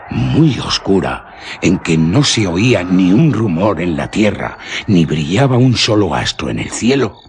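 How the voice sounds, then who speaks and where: A man with a husky, rough-edged voice, narrating a suspense story theatrically and deliberately in literary radio-theatre style. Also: sits low, a little hiss or hum.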